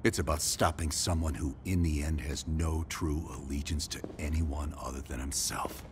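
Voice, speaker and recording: An American actor playing a cold, commanding middle-aged CIA operative in a video game, with a grave, controlled delivery. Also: male voice, extremely low pitch, steady tone, moderate pace, noisy background. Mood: grave, pressing. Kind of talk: cinematic video game acting.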